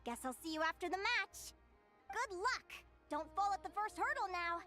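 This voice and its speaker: A woman voicing a friendly, confident young female rival in a video game, breezy and teasing, with loud music behind her. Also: extremely high pitch, expressive, moderate pace, exaggerated voice.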